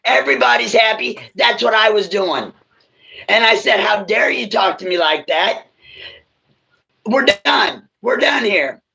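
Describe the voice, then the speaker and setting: A woman with a raspy, gravelly voice, talking bluntly as a guest on a casual livestream. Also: medium pitch, lively intonation, a little slow, sharp tone. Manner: blunt, emphatic, swears freely.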